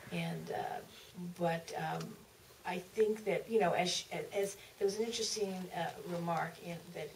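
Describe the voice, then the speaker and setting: A woman author recollecting at a live Q&A in a theater, speaking haltingly with repeats and trailing phrases. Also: fairly low pitch. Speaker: author, panel guest. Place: museum theater. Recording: distant, noisy auditorium pickup.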